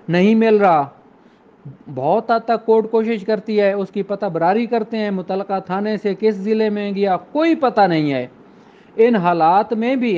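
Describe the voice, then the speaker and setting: A man teaches in a didactic, step-by-step style. He slips into English phrases, which he reads out with deliberate care. The sound is somewhat dull and lo-fi. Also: high voice. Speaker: law lecturer. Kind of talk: explanatory law lecture with examples, code-switching into English.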